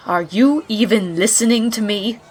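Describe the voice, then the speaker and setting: An indignant pony princess, played by a woman, talks in a medium-pitched voice. She is the blunt, scolding little sister of an audio drama, full of sarcasm and exasperated emphasis. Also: slow, slightly rough, low-quality recording. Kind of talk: scripted fan audio drama acting.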